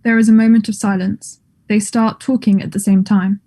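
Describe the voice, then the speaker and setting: Woman, mellow, mid-pitched, scripted narration, stage directions. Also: flat delivery, moderate pace.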